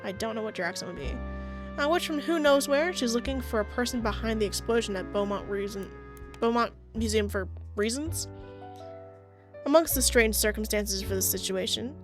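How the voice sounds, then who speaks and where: A woman reading a visual novel aloud as narrator, breaking off with playful, self-mocking asides, with soft music behind. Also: fairly high pitch, moderate pace. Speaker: gaming video creator.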